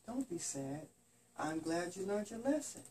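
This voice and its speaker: A man voicing a gentle, consoling mother rabbit in a bedtime story. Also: high-pitched, very animated, a little slow, far from the mic, a little hiss or hum. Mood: soothing. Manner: soothing.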